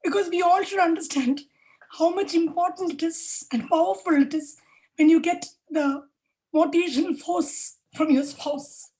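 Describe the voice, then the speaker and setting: A woman's voice: very high voice, normal speed, mellow, poor audio. A woman, raised in Kuwait, hosts the event and shares a personal story earnestly. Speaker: event host.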